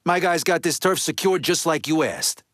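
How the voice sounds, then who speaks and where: An actor playing a hard-boiled, bitter mobster in a video game, talking in a blunt, streetwise way full of slang and swearing. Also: male voice, a little high, moderate pace, a bit bright, no echo, studio quality, natural voice. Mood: businesslike, pressing. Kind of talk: video game character dialogue.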